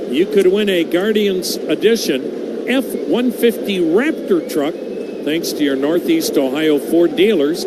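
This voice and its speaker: An American man calling live baseball play-by-play as a radio announcer. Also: high-pitched. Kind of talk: live radio sports commentary. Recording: very noisy broadcast, steady background hum.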